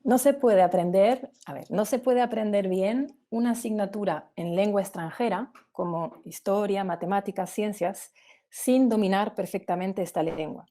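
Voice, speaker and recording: Mellow-voiced woman presenting a webinar. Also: medium pitch, normal speed. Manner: didactic, explains with examples. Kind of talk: explanatory presentation.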